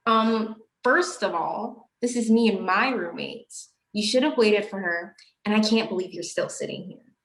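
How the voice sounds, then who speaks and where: A woman's voice: mid-range voice, unhurried, hardly any pauses, fairly bright tone. A young woman acting an earnest, exasperated college student in a student stage play. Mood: firm, confronting. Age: young adult. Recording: echoey stage room.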